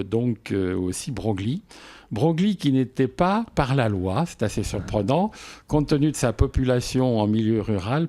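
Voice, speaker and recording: Low, mellow male local official. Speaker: interview guest, local intercommunal president. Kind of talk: explanatory radio interview answers.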